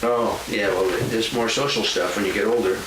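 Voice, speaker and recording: A man gently interviewing a child at home, coaxing answers and laughing often. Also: on the low side, fairly even intonation, normal speed. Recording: echoey room, far from mic, noisy.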